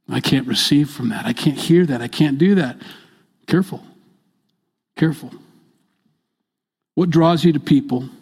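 An older male pastor delivers a sermon in a church, hammering each point home by saying it twice. His voice sits somewhat high for a man, and he drops from loud to soft and back. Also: slightly rough. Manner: emphatic, repeats key phrases, swings between loud and soft.

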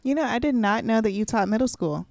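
A young woman co-hosting a podcast in casual chat, playful and quick with teasing banter. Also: fairly high pitch, lively intonation, a little mellow, no echo. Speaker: podcast co-host.

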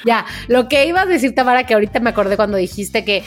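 A woman with a Mexican Spanish accent co-hosting a casual podcast chat, telling stories in an animated way and acting out quotes. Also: a little high, fast talker, soft background music. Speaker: podcast co-host. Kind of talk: casual chat among friends.

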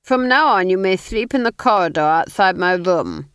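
A woman's voice: lowish voice, expressive, moderate pace, a bit bright, clear, resonant tone, no room sound, clean studio sound. A woman voicing a scheming middle-aged male duke who commands with falsely friendly, silky menace. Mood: silkily coaxing.

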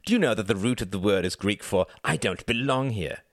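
A man's voice: lively intonation, a little fast, dry recording, studio quality. A man reading as an arrogant, sneering upper-class young man, indignant and haughty. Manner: haughty, indignant, rising shrill.

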